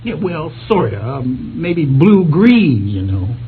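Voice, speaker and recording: High-voiced folksy American country husband. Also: male voice, very animated, a crowd in the background. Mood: deadpan teasing. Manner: halting, stammering, drawn-out homespun talk. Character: folksy rural husband Walt, good-natured leg-puller. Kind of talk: vintage-style radio drama acting. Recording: thin phone-like radio sound, clear noise.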